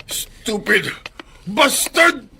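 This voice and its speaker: Shrill, bullying, bad-tempered boss. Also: male voice, very animated, very slow, creaky, slight background noise, heavily acted. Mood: enraged, menacing. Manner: shouting, domineering. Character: harsh, bullying, ill-tempered foreign employer. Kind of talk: radio drama acting.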